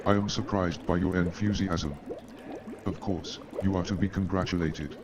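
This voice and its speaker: Guy doing a really deep polite gentleman, formal, funny radio play, dull noisy recording. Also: steady tone, normal speed, close to an everyday voice. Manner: formal, courteous. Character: polite, lazy, nerve-shaken gentleman, the detective's new roommate. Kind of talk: comic stage-style radio play acting. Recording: dull lo-fi sound, noisy background.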